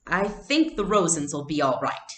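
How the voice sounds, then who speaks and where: A woman reader voices a young man from a storybook, warm yet serious, a trusted family friend. The recording is echoey and roomy. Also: low voice, lively intonation, moderate pace. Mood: grave.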